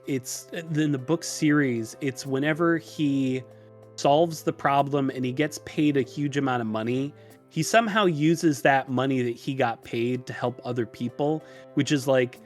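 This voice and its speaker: A man talking casually as a podcast guest, telling stories in an animated, self-deprecating way with exasperated asides. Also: mid-range voice. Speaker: podcast guest, illustrator and programmer.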